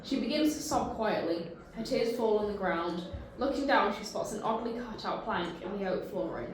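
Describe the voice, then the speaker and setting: A woman's voice: medium pitch, lively intonation, normal speed, very echoey, crowd noise, rough recording. A woman reading narration and stage directions at a table read.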